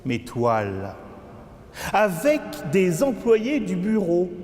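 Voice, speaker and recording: A man declaims experimental poetry in a high-pitched voice with very animated intonation. He moves between low mutters and stretched, crying peaks. The delivery is theatrical and avant-garde throughout. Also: slow. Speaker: poet performing own work. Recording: long cavernous reverb with background noise.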